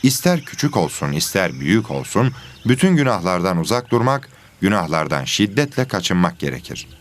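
A man playing a patient religion teacher giving a scripted, deliberate lesson in an educational cartoon. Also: low-pitched, big pitch swings. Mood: solemn teaching. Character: patient male religion teacher of animal pupils.

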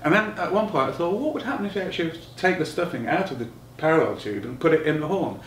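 A man's voice: on the high side, expressive, fairly bright tone. Middle aged guy explaining tech stuff, stops and starts, mic a bit far off, echoey room. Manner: halting, thinking aloud with many pauses. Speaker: loudspeaker designer being interviewed. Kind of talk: technical explanation in conversation. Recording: roomy, distant mic, noticeable background noise.

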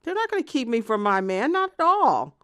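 A woman's voice: fairly high pitch, very animated, fairly quick, fairly bright tone, clear, resonant tone, no echo, clean studio sound. A woman playing a jilted, obsessive lover in an audio theatre monologue, confessing in a hesitant, conversational ramble with self-justifying, indignant edges. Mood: defiant. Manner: hesitant, filler-strewn rambling that flares into swearing indignation.

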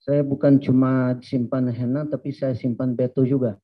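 A man's voice: mid-range voice, level tone, normal speed. A man interpreting a coach's answers at a press conference, speaking plainly with casual fillers at a table mic. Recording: dull lo-fi stream audio.

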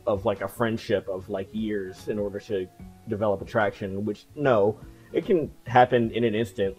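A person in their late twenties chatting casually to camera in a vlog, relaxed and full of fillers and soft laughs, on a headset mic in a small room. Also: medium pitch. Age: late 20s. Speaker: video creator. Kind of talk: casual talking-head vlog. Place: small cluttered room indoors.

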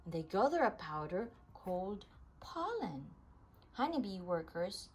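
A woman reading a children's nonfiction book aloud, phrasing it deliberately in short, separate chunks. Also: mid-range voice, husky. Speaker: read-aloud reader of children's books.

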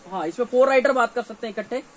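A man's voice: very high-pitched, fast. A man in his thirties with a North Indian accent, a dealer giving an informal, repetitive sales showcase to camera in a small shop. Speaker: shop dealer presenting products. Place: small cluttered gear shop, indoors. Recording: faint shop background noise.

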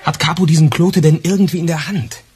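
An actor playing a probing police investigator in a radio crime play, pointed and dry. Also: male voice, on the high side, fairly even intonation, fairly quick, a little mellow, husky. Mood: pondering. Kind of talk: German radio drama dialogue.

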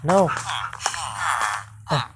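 A woman improvising a game roleplay as she plays, often hesitating and repeating words. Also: very slow. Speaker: gamer playing a Minecraft roleplay. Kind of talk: improvised roleplay gameplay commentary. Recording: noisy phone gameplay recording with clicks.

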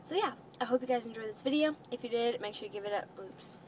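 A teenage girl talks casually to her viewers in a fairly high voice. She sounds like a home vlogger filming in a car, with clear background noise on the recording. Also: quick pace, a little mellow. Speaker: vlogger showing a clothing haul. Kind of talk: casual chat to camera. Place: inside a parked minivan. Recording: noisy phone-style recording inside a car.

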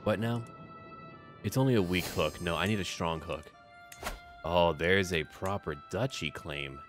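A man with a deep voice talks through a game as he plays, with faint music underneath. He speaks casually into a close mic, thinking aloud in short, clipped remarks and slipping lightly into roleplay. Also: unhurried. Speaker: host of a gameplay series. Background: background music.

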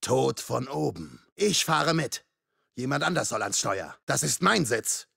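An actor playing a menacing masked slasher killer in a video game, raspy and gravelly, threatening, mocking and darkly playful. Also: male voice, mid-range voice, big pitch swings, measured pace, bright, forceful tone, no room sound, clean studio sound, full character voice. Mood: businesslike menace. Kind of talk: video game combat voice lines.

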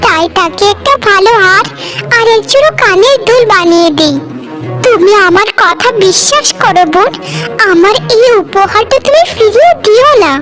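Kindly well-off cartoon wife, acted by a woman: earnest, pleading, very high and lilting. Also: animated, normal speed. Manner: earnest, entreating. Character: rich, kindly wife, gentle and earnest sister-in-law. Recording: music behind the voice.

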